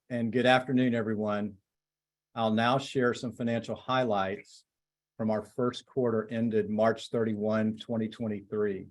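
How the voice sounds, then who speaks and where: Lowish-voiced man reading financial results. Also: a little mellow. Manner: formal, measured, matter-of-fact. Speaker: chief financial officer. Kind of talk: scripted financial report read aloud.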